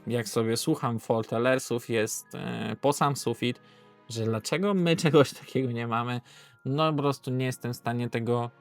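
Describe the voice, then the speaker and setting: A man with a Polish accent giving a casual, unscripted board game review, hesitant and rambling as he corrects himself. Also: medium pitch.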